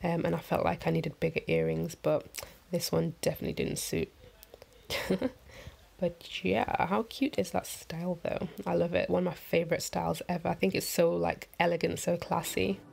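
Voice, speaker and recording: A woman vlogger with a croaky morning voice, talking through a head-wrap tutorial in a chatty, rambling, upbeat voiceover. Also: lowish voice, fairly even intonation, moderate pace, a little mellow. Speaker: fashion vlogger. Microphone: voiceover mic.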